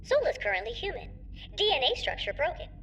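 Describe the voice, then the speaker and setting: A woman acts as a female computer in a science-fantasy drama. The voice is high and very bright, issuing formal, clipped announcements. It sounds set back from the microphone. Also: huge pitch swings, fast talker, exaggerated voice. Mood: procedural. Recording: distant sound, faint background noise.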